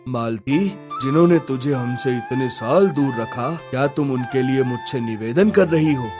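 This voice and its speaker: A man's voice: medium pitch, normal speed, lightly acted. A man voicing an authoritative king and father in a cartoon, formal and commanding. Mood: reproachful. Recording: music under the voice.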